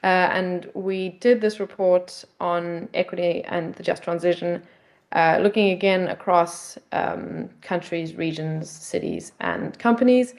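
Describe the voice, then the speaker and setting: A woman with a South African accent, presenting her project at a conference in an informal, steady way, with frequent "um" and "kind of". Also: fairly low pitch, a little slow, creaky. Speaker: presenter at a finance event. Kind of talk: conference pitch with slides. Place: event stage.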